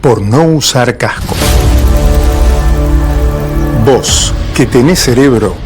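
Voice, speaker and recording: A man's voice: medium pitch, animated, a little slow, music in the background. Argentine male announcer over a backing bed. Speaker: public-service announcer. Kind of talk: scripted radio safety message.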